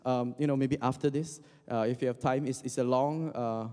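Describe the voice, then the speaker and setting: A man's voice: medium pitch, fairly even intonation, normal speed, a little mellow. Man preaching in a long reverberant hall. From Indonesia. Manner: joking asides, frequent fillers and restarts. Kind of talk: casual conversational sermon.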